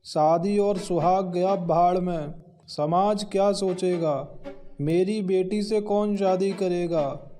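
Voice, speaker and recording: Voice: a woman doing a stern, deep-voiced old father. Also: takes it slowly, mellow, faint music behind. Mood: resolute. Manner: grave, resolute. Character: stern, protective father of the bride.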